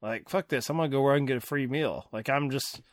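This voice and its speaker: A man's voice: medium pitch, fast, a little hiss or hum. A man hosting a casual movie-review podcast, rambling wryly and laughing often. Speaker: podcast host.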